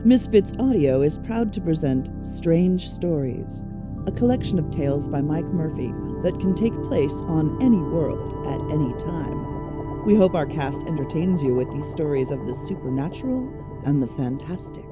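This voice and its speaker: A woman narrating an audio drama in a measured storytelling manner. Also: deep voice, animated, loud music behind the voice.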